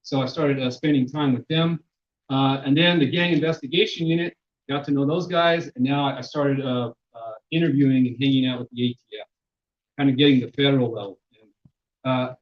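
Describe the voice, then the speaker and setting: A man giving a public lecture as a sociologist, with a loud voice and many fillers and restarts. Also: mid-range voice, steady tone, a little fast. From Mexican-American. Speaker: sociologist presenter. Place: lecture room. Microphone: handheld microphone, then none. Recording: echoey hall, dull sound.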